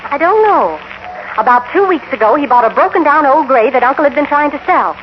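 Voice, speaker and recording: Anxious young ranch woman, American accent, high voice, fast talk, old muffled radio western. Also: expressive. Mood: earnest. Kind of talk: 1950s radio western acting. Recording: tinny, muffled, hissy old radio broadcast.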